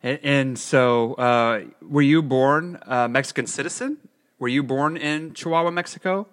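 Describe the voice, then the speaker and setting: A man's voice: medium pitch, unhurried, a bit bright. A man hosting an interview, asking curious and encouraging questions. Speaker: interview host. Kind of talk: conversational interview.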